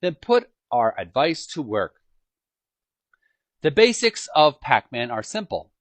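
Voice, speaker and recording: A man reading a book aloud as an amateur narrator, with emphatic, dramatic phrasing and frequent pauses. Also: medium pitch, big pitch swings, dry recording. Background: music.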